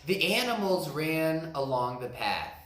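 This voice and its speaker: A man, a teacher, reading a picture book aloud with slow, expressive narration. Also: on the high side, a little hiss or hum. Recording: echoey room.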